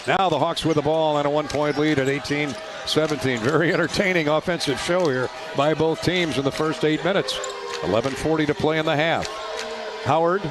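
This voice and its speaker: Clear arena noise and bouncing balls surround a fairly high-pitched male announcer. He calls live basketball for radio in short, running phrases, lifting toward a shout on big plays. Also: brisk. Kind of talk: live basketball play-by-play commentary. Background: loud court noise, bouncing basketball.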